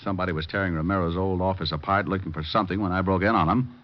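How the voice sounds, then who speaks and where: An actor playing a cool, wisecracking private detective in old-time radio style, wry and clipped. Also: male voice, low-pitched, steady tone, rapid-fire, close to an everyday voice. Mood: reasoning, brisk. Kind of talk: 1940s-50s radio acting. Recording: dull vintage radio recording.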